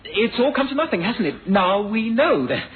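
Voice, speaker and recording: Tinny old radio sound, agitated young man, high voice, halting, highly strung, pleading. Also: sing-song, slight background noise. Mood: anxious, defiant. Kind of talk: 1970s radio drama acting.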